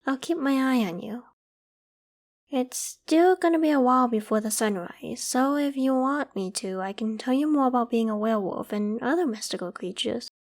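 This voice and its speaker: Friendly, higher-voiced werewolf girl acted by a woman. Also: moderate pace, lightly acted. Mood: caring, earnest, then friendly, playful. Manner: casual, conversational, gently protective. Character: friendly, protective half-human female werewolf, young, self-conscious. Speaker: voice actor in audio roleplay. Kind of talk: ASMR roleplay, acted monologue to the listener.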